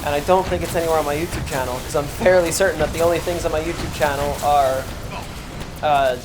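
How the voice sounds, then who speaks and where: A man in his twenties with a high tenor voice, chatting casually and thinking aloud while streaming a game. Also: quick pace, music in the background. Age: 20s. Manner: relaxed, rambling, thinks aloud. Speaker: live streamer. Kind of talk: casual chat while gaming. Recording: clear background noise.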